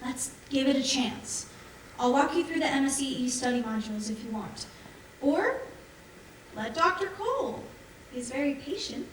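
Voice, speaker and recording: A woman's voice: sits high, animated, normal speed, a bit bright, distant mic. A woman on stage playing an eager, persuasive daughter in a sci-fi colony, speaking in a coaxing, assured way. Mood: earnest coaxing. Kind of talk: staged musical reading, stage acting. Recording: echoey theatre from afar, faint noise.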